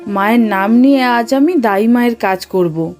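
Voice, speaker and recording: A woman plays a loud, theatrical village maid and midwife from a horror cartoon. Her voice is high and soft-edged. Faint music sits behind it. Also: moderate pace, natural voice. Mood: determined, forceful. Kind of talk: cartoon horror story acting.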